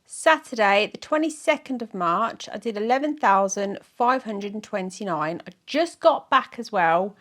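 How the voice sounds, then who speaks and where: Middle-aged woman, croaky from a sore throat, chatty, giggly vlogger. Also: mid-range voice, animated, normal speed, few pauses, fairly bright tone. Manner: chatty, giggly, self-mocking, rambling with fillers. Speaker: weight-loss vlogger. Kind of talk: casual chat to camera.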